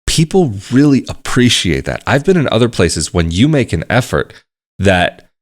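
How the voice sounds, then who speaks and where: A man talking casually to the camera, explaining things at a measured pace and repeating phrases for emphasis. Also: lowish voice, sing-song. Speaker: vlogger.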